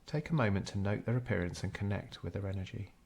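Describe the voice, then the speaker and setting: A man narrating a scripted guided meditation in a measured, unhurried storytelling way. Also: on the low side, vocal fry, darkish tone, breathy edge.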